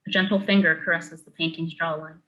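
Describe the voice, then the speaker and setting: Low, bright-voiced woman narrator. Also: fairly even intonation, brisk, highs cut off. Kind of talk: scripted screenplay narration, reading stage directions.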